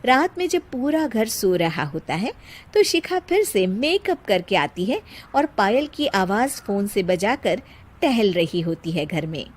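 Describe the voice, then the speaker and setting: A woman narrating a cartoon moral story in a calm, plain storybook manner. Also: medium pitch, slight background noise.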